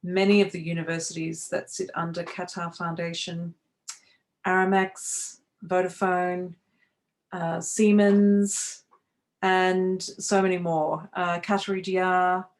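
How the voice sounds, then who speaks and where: A woman's voice: lowish voice, level tone, slow. A middle-aged woman hosting a live online webinar as a coach trainer, speaking over a video call in a welcoming way with frequent restarts. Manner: welcoming, talks with many fillers and restarts.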